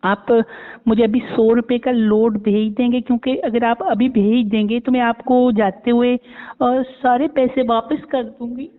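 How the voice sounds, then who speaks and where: A man's voice: very high voice, fairly even intonation, measured pace. A man playing a coy, pushy, easily offended young woman with a Pakistani accent in an improvised phone prank. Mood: coy, hesitant. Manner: hesitant, needling, repeatedly questioning. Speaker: prank caller. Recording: echoey hall sound, dull phone-call audio.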